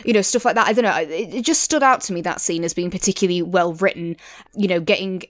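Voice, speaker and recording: A woman's voice: fairly low pitch, quick pace, dry recording, studio quality. A woman in a casual review discussion as a podcast co-host, thinking aloud in a tentative, hedging way.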